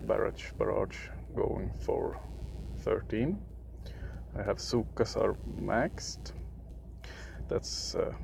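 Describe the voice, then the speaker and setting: A young man from Sweden with a Swedish accent, for whom English is a third language, giving casual gameplay commentary in a halting way, often searching for words. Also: on the low side, creaky, darkish tone, slight background noise. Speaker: gaming video creator.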